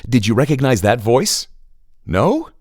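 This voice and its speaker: A man's voice: medium pitch, sing-song, measured pace, darkish tone, no room sound, studio quality. A man narrating a children's audio adventure as a wry, teasing storyteller who builds suspense. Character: wry, teasing storyteller addressing young listeners. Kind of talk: scripted narration.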